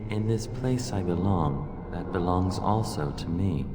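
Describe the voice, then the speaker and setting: An actor voicing the proud spirit of a betrayed freedom fighter, earnest and resolute, in a fantasy game. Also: male voice, low voice, animated, measured pace. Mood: proud, regretful. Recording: noisy, echoing long hall.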